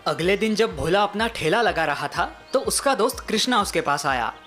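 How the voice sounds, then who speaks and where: A woman's voice: medium pitch, animated, normal speed, music in the background. A woman giving scripted narration of a children's moral story.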